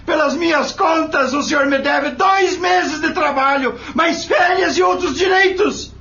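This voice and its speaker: A man's voice: very high-pitched, a little slow, pushed and bright, shouting, full character voice. A man playing a proud, wronged farm labourer in an audio soap opera, emotional and defiant, with rustic colloquial speech. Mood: furious. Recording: dull, lo-fi audio.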